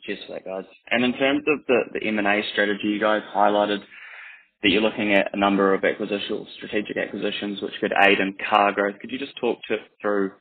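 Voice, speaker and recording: A man's voice: fairly low pitch, slightly rough. A man asking questions as an analyst on a conference call, in a casual, hedging way. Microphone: telephone conference call. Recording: muffled phone-like call line.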